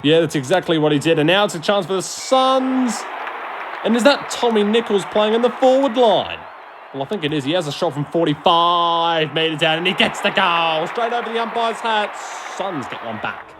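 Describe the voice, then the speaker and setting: A man giving excitable, colloquial play-by-play sports commentary in a blunt manner, often answering his own questions. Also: high voice, normal speed. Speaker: amateur football commentator. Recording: loud crowd noise behind the voice. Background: stadium crowd ambience.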